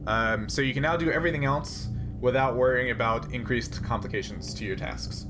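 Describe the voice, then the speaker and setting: A man's voice: medium pitch, fairly quick, music in the background. A man acting as game master for a tabletop sci-fi game, explaining things casually and a bit hesitantly.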